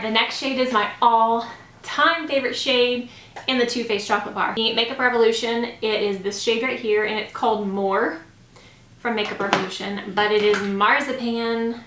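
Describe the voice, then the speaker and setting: Higher-voiced woman vlogging in a roomy space. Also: fairly bright tone. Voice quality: slightly scratchy. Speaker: beauty vlogger. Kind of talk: makeup review, casual chat to camera. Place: small home room, indoors. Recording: roomy echo.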